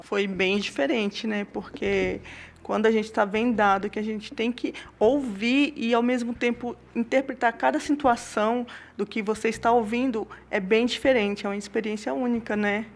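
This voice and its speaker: A woman's voice: fairly high pitch, steady tone, moderate pace, creaky. A Brazilian woman giving an informal interview as an event participant, often checking with a short questioning tag. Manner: often tags phrases with a questioning "right?".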